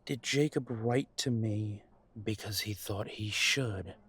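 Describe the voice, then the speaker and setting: A man's voice: on the low side, slow, fairly bright tone, husky, full character voice. A man playing a wounded young werewolf son in an audio drama, confrontational and sardonic with his parents. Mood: suspicious. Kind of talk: audio roleplay drama acting.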